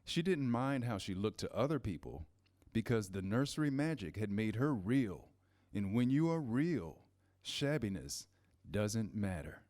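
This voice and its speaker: A man narrating a scripted children's story in measured, pausing phrases. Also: fairly low pitch, very animated, a little mellow. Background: music, guitar.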